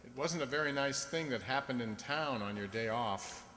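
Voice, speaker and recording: A man's voice: medium pitch, moderate pace, sharp tone, close to an everyday voice. Man playing a kind young doctor, echoey hall. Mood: warm, hesitant. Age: young adult. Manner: hesitant, tender. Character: kind, earnest young country doctor, shyly fond. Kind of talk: radio drama acting. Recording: echoey hall, faint background noise.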